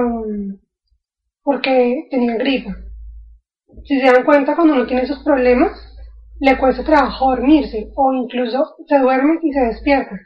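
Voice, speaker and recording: A woman's voice: high-pitched, dull audio. A woman explaining a topic in an informal video lecture, thinking aloud with fillers and restarts. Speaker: educator.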